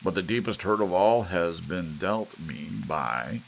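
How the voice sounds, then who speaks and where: A man's voice: low-pitched, expressive, a little slow, gravelly, voice pushed up, full character voice. A man voicing a domineering, self-important ancient grandfather in an audiobook, with a barking, portentous delivery. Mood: maudlin, self-pitying. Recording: rain and hiss behind.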